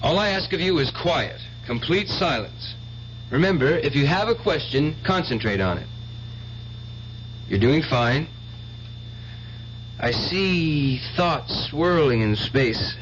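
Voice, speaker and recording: A self-righteous stage mentalist in an old radio drama. His voice is high and declamatory, full of fanatical piety. The recording is dull and very noisy, with hum. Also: lively intonation, slow, long pauses. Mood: grandiose showman. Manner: theatrical, declamatory showman. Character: fanatical stage mind reader, tormented, pious, self-righteous killer.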